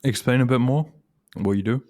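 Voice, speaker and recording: A man's voice: mid-range voice, brisk, mellow. A man hosting a casual interview, asking questions in a conversational way.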